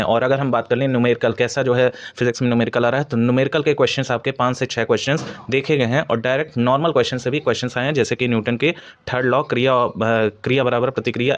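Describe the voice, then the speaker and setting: A man giving an informal exam analysis to students as a coaching teacher, explaining hesitantly and repetitively with English terms mixed in. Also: medium pitch.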